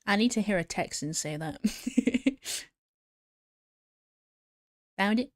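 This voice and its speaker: A woman's voice: mid-range voice, lively intonation, takes it slowly, no room sound, studio quality. A woman streamer chatting casually and giggling as she answers viewers while she draws. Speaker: VTuber streamer.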